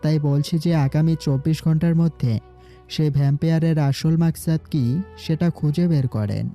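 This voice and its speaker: A man's voice: on the high side, moderate pace, mellow, natural voice. A man voicing a commanding young city boss in an audio drama, giving firm orders. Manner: commanding, gives orders firmly. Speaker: voice actor. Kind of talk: Bengali audio drama acting. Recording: faint background music.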